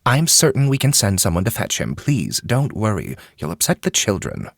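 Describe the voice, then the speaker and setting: A man voicing a composed male courtier who talks in a calm, reassuring, glib way. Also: fairly low pitch, normal speed, gravelly, no room sound, studio quality, pitched above the performer's own voice, full character voice.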